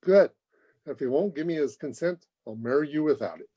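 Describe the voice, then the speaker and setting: A man's voice: fairly high pitch, lively intonation, fairly quick, mellow, husky. A man playing a brash, flippant, jaunty young suitor in an old-time radio drama. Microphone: video call. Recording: dull lo-fi video call.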